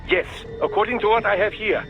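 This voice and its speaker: Male actor as scholarly scientist, high voice, formal, halting, polite, fascinated, video game cutscene. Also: steady tone, dull audio, music in the background, close to an everyday voice. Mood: musing, precise. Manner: halting, formal, deferential, pedantic.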